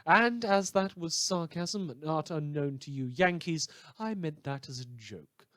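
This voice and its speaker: Man acting as survivalist villain radio host, sarcastic, theatrical, emphatic stresses, slightly high voice, very dry recording. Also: expressive, unhurried, fairly bright tone, dry recording. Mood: sardonic, brisk. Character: sardonic male monster villain hosting a post-apocalyptic survivalist radio show. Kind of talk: fiction podcast as in-character radio monologue.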